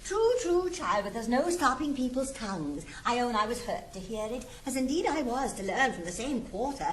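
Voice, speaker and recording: A woman's voice: on the high side, very animated, normal speed. An actress playing a gossipy, falsely sympathetic older society lady in a stage comedy, English, gushing and affected. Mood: mock-concerned gossip. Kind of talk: theatrical Restoration-style stage comedy acting. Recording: roomy 1960s studio recording with hiss.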